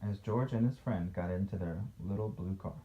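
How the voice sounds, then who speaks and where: A man's voice: low-pitched, normal speed. A man reading a picture book aloud as a dad to a child and chatting about it in a playfully critical, conversational way. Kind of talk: storybook read-aloud with casual chat. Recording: dull, noisy home recording.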